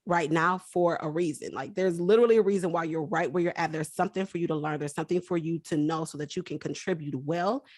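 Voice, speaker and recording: A woman's voice: lowish voice, fast talker, hardly any pauses, darkish tone, no room sound, clean studio sound. A woman guest on a faith-based interview, speaking about personal branding in an earnest, encouraging way.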